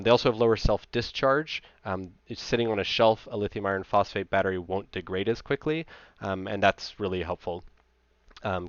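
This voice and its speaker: A man in his thirties with a low-pitched voice explains technical material as a presenter. His delivery is informal and conversational. The recording is dry and close, with slightly dull, lo-fi audio. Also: dry recording.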